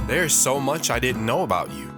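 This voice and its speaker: A man playing a shy, hesitant wanderer who narrates his own story in an audio drama, reflective in tone, with soft guitar underneath. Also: medium pitch, big pitch swings, normal speed, close to an everyday voice. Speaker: narrator and guest.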